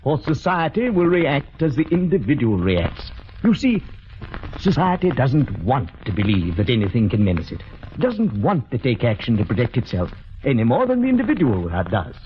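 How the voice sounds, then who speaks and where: An actor playing a calm, villainous doctor, polite and clinical, in 1940s radio drama style. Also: male voice, medium pitch. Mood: coldly menacing. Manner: polite, measured, hesitant pauses, clinical. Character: calm, cold, calculating villainous male doctor, smugly scientific. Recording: muffled, noisy vintage radio recording.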